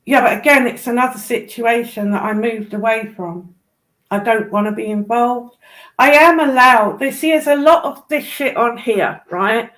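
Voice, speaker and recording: A British woman with a slightly high voice, talking casually. She is blunt and emphatic, and swears freely as she makes her point. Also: normal speed, fairly bright tone, low-quality recording. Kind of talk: casual chat.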